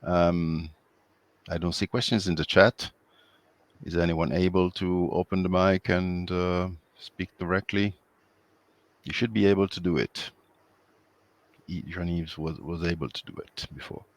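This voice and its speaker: Low-voiced man moderating a webinar. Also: steady tone, no room sound. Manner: hesitant, halting, many fillers. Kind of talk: hosting an online webinar. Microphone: video call.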